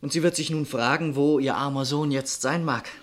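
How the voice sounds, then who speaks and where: An actor in a German radio drama playing a modest, dreamy young medical student turned soldier, earnest and courteous. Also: male voice, fairly high pitch, steady tone, normal speed, lightly acted. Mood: melancholic, dreamy. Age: young adult.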